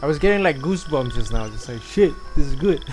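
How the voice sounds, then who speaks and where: A man from Malaysia chatting casually on a game livestream, musing aloud in a halting way as he reacts. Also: a little high, lively intonation, darkish tone, soft background music. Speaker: game streamer. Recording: noisy livestream with game sound behind.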